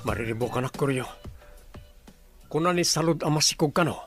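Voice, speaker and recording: A man's voice: on the high side, fairly quick, faint music behind, natural voice. An actor with an Ilocano accent playing a troubled, doubting businessman and boss in a radio drama. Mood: worried.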